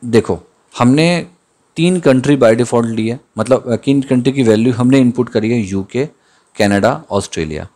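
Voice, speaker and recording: A man giving a screen-recorded coding lesson, thinking aloud as he types and slowing down to read out the code. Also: medium pitch, warm tone. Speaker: programming tutor. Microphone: voice-over on screen capture.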